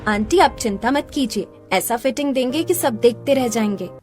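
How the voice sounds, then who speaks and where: Woman playing a high-voiced, helpful young daughter. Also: animated, normal speed, a little mellow, soft background music, lightly acted. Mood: reassuring. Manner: reassuring, eager. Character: helpful, confident young daughter, skilled seamstress.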